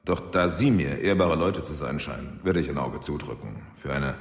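An actor playing a corrupt, smooth-talking policeman with an unctuous, mock-polite manner in an audio drama. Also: very deep voice, moderate pace. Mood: officious, sly. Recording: echoey hall, long reverb.

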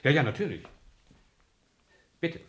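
Smug store manager, animated intonation. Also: male voice, fairly high pitch, a little slow. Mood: smooth, indignant. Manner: mockingly polite, haughty. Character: smug, self-assured male store manager, mockingly polite. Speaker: store manager and suspect in a crime drama. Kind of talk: German radio crime drama acting.

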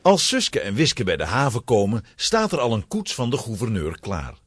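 A man narrating a scripted children's story with a husky voice. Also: on the low side, wildly swooping pitch, normal speed, a little hiss or hum.

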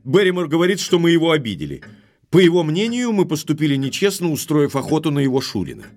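Expressive, blunt baronet, swooping pitch. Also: male voice, fast. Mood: puzzled, annoyed. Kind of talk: audio drama acting.

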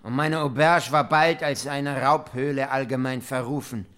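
A man's voice: medium pitch, fairly even intonation, normal speed, fairly bright tone, natural voice. Man acting a depraved, savouring robber captain. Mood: matter-of-fact, boastful. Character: depraved male robber captain confessing cannibal atrocities with relish. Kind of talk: German radio play, archaic monologue.

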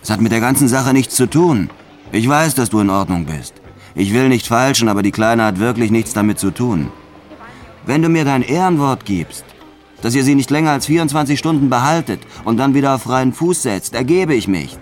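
A man acting out a standoff between a cornered gangster and a police commissioner in a radio drama, calling out loudly with a strained, challenging delivery. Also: medium pitch, animated, moderate pace, faint music behind, voice pushed up, close to an everyday voice. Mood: defiant, loud. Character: cornered male gangster and police commissioner shouting through a door. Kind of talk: acted radio drama dialogue.

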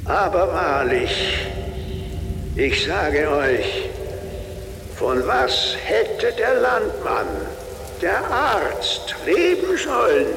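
A man's voice: high voice, very animated, drawn-out words, creaky, pauses often, fairly bright tone, full character voice. A man playing a drunken journeyman giving a crude, pompous mock sermon in a radio play. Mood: mock solemn. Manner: pompous, declamatory. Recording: cavernous echo with long reverb, faint hiss.

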